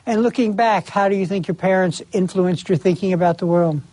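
Measured male host, dry close sound. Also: sits high, darkish tone, no echo, rough recording. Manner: measured, formal. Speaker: interview host. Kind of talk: scripted introduction and interview questions.

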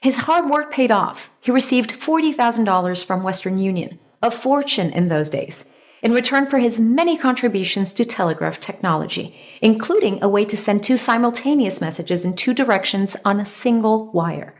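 A woman's voice: fairly high pitch, expressive, moderate pace. A woman reading scripted documentary narration.

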